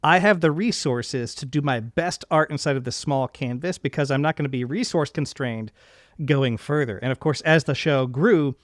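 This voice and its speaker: A man's voice: medium pitch, normal speed, no echo, clean studio sound. A man hosting a casual interview, reflecting at length and enthusiastically affirming his guest. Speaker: podcast host interviewing a guest.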